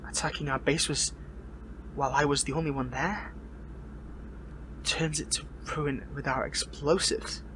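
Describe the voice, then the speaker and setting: A man playing a bitter, defiant captured superhero in an audio roleplay, speaking in a strained, halting, taunting way. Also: on the high side, steady tone, fairly bright tone, slight background noise, low-quality recording. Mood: bitter, sarcastic. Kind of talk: dramatic audio roleplay monologue.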